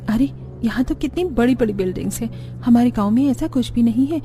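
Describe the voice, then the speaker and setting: A woman's voice: fairly high pitch, a little fast, dark tone, close to an everyday voice. A woman voicing a sweet, dutiful and eager young village bride in a cartoon. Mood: amazed.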